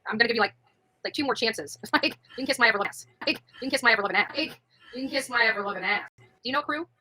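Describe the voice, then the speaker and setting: A woman's voice: fairly high pitch, racing, pushed and bright, breathy edge. A woman in her forties chatting bluntly and brashly on a livestream panel, laughing often. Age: 40s. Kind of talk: casual livestream chat.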